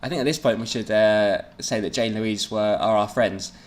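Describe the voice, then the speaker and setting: A man's voice: fairly low pitch, moderate pace. A man co-hosting a casual comedic podcast, reading aloud from his teenage fan fiction and joking about it with frequent laughter. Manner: reads aloud then jokes, frequent laughs. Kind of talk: casual comedic read-through chat.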